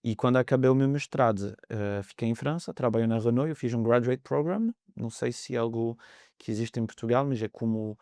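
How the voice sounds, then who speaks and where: A man's voice: medium pitch, fairly quick, dark tone, dry recording, clean studio sound. A man in his thirties from France with a French accent, a guest on a podcast interview, talking in a hesitant way with many fillers. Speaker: mortgage broker guest.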